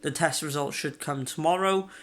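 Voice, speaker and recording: Young man, congested and coughy, bright tense voice, fairly high, rambling casual chat. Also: moderate pace. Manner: rambling, chatty, frequent fillers and restarts. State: congested and coughing, sore throat. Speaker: vlogger. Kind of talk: casual video diary. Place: at home.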